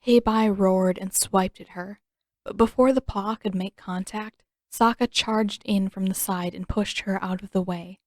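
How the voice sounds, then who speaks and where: A woman's voice: medium pitch, steady tone, unhurried, no room sound. Woman narrating fiction, dry close sound. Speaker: audiobook narrator.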